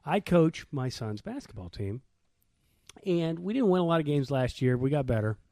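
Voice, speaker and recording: An American man around his thirties or forties co-hosting a podcast, telling everyday stories in slangy, animated casual chat. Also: a little high, moderate pace, no echo, studio quality. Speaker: podcast co-host.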